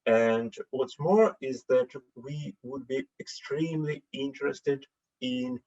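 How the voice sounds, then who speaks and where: A man's voice: medium pitch, slow, a little mellow. Hesitant man. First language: Russian. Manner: hesitant, many filler sounds. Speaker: seminar participant. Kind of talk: online meeting remarks. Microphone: computer mic on a video call. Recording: dull lo-fi call audio.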